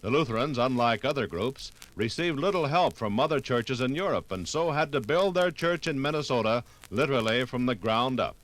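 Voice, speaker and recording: An American man narrating a scripted 1950s radio documentary in a formal, measured way. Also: mid-range voice, animated, fairly bright tone, rough recording.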